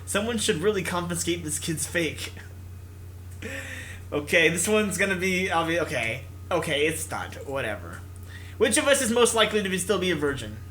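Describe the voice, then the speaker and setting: A man's voice: sits high, sing-song, normal speed, a bit bright, slight background noise. A man hosting a gaming video, reading narration expressively and mixing in casual commentary.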